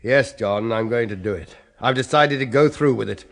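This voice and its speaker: An actor playing a proud, skeptical, dying philosophy scholar with a dry, deliberate, pompous manner, in a 1970s radio horror play. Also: male voice, medium pitch, close to an everyday voice. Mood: proud, resolved.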